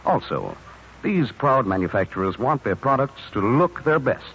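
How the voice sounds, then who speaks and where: A man's voice: medium pitch, very animated, moderate pace, a bit bright, breathy edge. A man working as an announcer, reading a scripted 1950s radio commercial. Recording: muffled, noisy 1950s radio.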